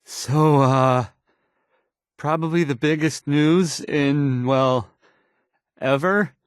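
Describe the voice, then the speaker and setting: A man's voice: a little high, no room sound, clean studio sound. A man playing a timid, awkward young radio DJ in a video game, hesitant and apologetic as he stammers through the news in a shaky voice. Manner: stammering, halting, apologetic, trailing off. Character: timid, awkward young male radio DJ, anxious and unsure of himself.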